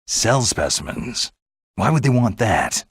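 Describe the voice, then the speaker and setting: A man voicing a gruff, sardonic veteran special forces soldier in a video game, with a gravelly, husky voice and a terse, skeptical manner. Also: lowish voice, sing-song, measured pace, dry recording, studio quality, full character voice. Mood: skeptical, puzzled.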